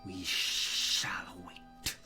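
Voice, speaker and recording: A man voicing a creepy, devoted hive-minded servant creature in a slow, solemn, breathy whisper for a tabletop game. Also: low-pitched, monotone, echoey room, music in the background, pitched below the performer's own voice. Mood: insistent, ominous. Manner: slow, halting, solemn, speaks in short phrases.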